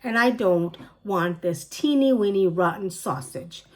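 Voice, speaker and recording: A woman's voice: medium pitch, expressive, a little slow, a little mellow, lightly acted. A woman voicing a sulky, rude little girl who whines and complains in a storybook read-aloud. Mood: whiny. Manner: whiny, complaining.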